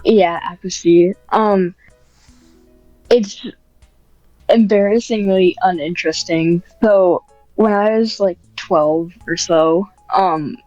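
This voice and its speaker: A woman with a fairly low voice chats in a halting, filler-heavy way. The sound is muffled and dry, and faint music sits underneath. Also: darkish tone, dry recording. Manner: hesitant, many fillers and restarts. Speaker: podcast guest, ASMR script writer. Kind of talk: casual interview chat.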